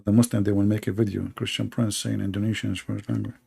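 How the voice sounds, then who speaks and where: A man's voice: on the low side, level tone, quick pace, vocal fry, a little mellow, slightly rough. A man hosting an online debate, mocking in a sarcastic rant with broken English, restarts and many fillers.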